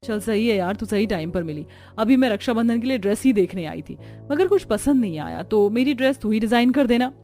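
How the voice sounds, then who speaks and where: A woman's voice: a little high, lively intonation, brisk, dark tone, faint music behind, close to an everyday voice. A woman voicing a haughty young rich daughter-in-law in a cartoon story, condescending and showing off. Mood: chummy, dismissive.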